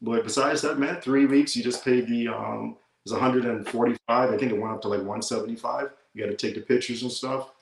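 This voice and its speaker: A young man, speaking as a relaxed guest in a casual interview and often tossing in "man". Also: mid-range voice, fairly quick, creaky, hardly any pauses, echoey room, rough recording. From Bahamas. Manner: easygoing, says "man" often.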